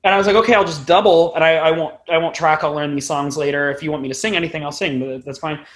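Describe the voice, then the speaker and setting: A man's voice: on the high side, fairly even intonation, fast, a bit bright. A man in his twenties chatting casually as a musician being interviewed, rambling and self-deprecating. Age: 20s. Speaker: podcast guest, band musician.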